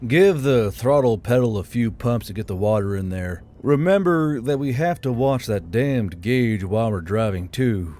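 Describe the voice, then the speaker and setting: A man voicing a cautious, practical engineer who gives quiet, muttered instructions in an audiobook. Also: mid-range voice, animated, moderate pace, few pauses, slight background noise, natural voice. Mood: focused. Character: cautious, practical male engineer testing a machine. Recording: music behind the voice.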